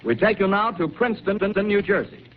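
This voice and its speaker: An actor playing a male studio radio announcer in a 1930s radio drama, with formal, crisp announcing. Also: high voice, very animated, brisk, a little hiss or hum. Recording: tinny 1930s radio broadcast.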